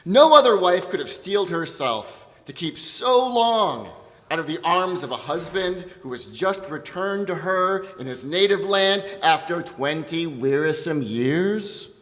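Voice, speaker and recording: A man playing an aggrieved returned husband from ancient epic, declaiming deliberately on a theatre stage. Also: a little high, lively intonation, a bit bright. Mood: bitter, wounded. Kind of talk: dramatic stage reading of an epic. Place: theatre stage in an arts center. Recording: echoey hall with long reverb.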